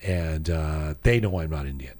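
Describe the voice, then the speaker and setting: A man hosting a casual studio chat, telling travel stories in a rambling, animated way with many restarts. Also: very deep voice, normal speed. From Iranian heritage. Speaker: podcast host.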